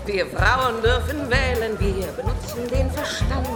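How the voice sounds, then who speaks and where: An actress playing a brash, teasing cabaret singer who owns a club, in a radio play. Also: female voice, on the high side, unhurried, close to an everyday voice. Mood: saucy. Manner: saucy, teasing, commanding. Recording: roomy club with loud music and crowd.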